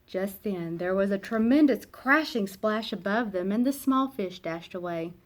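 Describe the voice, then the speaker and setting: A woman's voice: mid-range voice, lively intonation. Woman reading a children's storybook expressively. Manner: dramatic, with emphatic pauses and hushed phrase endings. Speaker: children's read-aloud narrator. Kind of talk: expressive storybook read-aloud.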